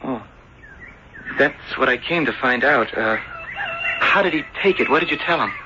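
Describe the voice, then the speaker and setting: An actor playing an earnest, excitable young voyager in an old-time radio drama. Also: male voice, mid-range voice, normal speed, natural voice. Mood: reassuring. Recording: dull, hissy old radio recording.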